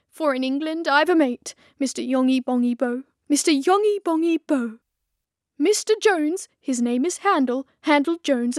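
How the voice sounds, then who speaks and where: A woman's voice: high-pitched, dry recording, studio quality. A woman playing a sad, regretful married lady, declaiming plaintively in storybook verse.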